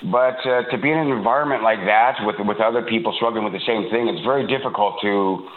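A man in his sixties from Toronto, calling in to a radio show, talking haltingly with many fillers and restarts, a bit congested from a cold. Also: lowish voice. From Toronto, Canada. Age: 60s. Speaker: caller. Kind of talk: call-in radio talk. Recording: tinny phone line.

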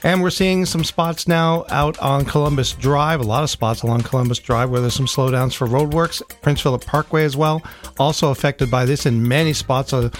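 A man hosting a live morning radio show, reading traffic and interviewing a guest in an easygoing, conversational way. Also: mid-range voice.

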